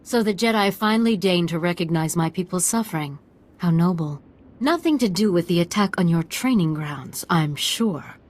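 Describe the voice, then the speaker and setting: A woman's voice: on the low side, animated, moderate pace, darkish tone, slight background noise, lightly acted. A woman playing a proud, weary alien matriarch in a video game, middle-aged, ailing, haughty and solemn. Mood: sardonic.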